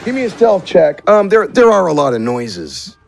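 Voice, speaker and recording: A man's voice: high voice, huge pitch swings, moderate pace, creaky, a little hiss or hum. A man narrating a tabletop game as game master, with theatrical, improvised storytelling.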